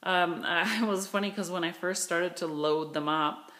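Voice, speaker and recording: A woman's voice: on the low side, normal speed, a bit bright. A woman filming with a handheld phone as she walks through her large indoor craft studio, chatting eagerly about her new shelves and storage. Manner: chatty, enthusiastic, rambling with fillers. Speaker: studio owner giving a tour. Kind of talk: casual walkthrough vlog. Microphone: handheld phone camera.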